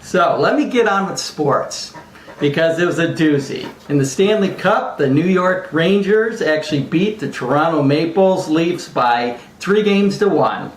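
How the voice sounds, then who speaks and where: A man's voice: a little high, animated, a little slow. Rambling amateur sportscaster man, echoey room. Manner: rambling, stumbling, self-deprecating. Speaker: amateur sportscaster, sidekick co-host. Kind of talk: informal mock sports report. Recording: echoey room, faint background noise.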